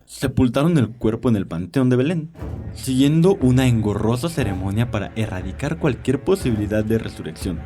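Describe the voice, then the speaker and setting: A man's voice: medium pitch, animated, a little fast, dark tone, lightly acted. A man playing a sly, arrogant old vampire doctor in an audio drama, telling his tales with theatrical relish. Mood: ominous storytelling. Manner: theatrical, leisurely, savoring storytelling. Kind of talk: audio drama character acting. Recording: music behind the voice.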